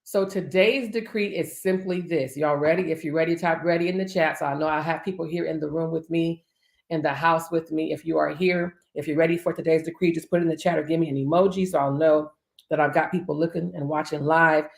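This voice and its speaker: A woman with a very low voice, rougher than usual this morning, leads a live-streamed devotional. She talks in a chatty, upbeat way, greeting viewers and stretching out phrases for emphasis, and the sound rings around an echoey room. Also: steady tone, brisk. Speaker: life coach and preacher hosting a daily livestream.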